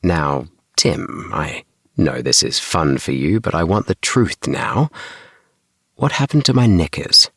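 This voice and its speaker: A man's voice: very deep voice, sing-song, a little slow, fairly bright tone, breathy edge, no echo, clean studio sound, exaggerated voice. A man voicing a stern, sceptical and slightly smug mother in a children's storybook. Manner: stern, disbelieving.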